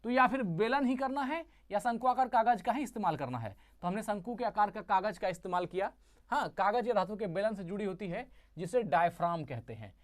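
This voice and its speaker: A woman's voice: medium pitch, expressive, brisk. A woman teaching a physics lesson who asks the class questions, answers them herself and repeats each key term.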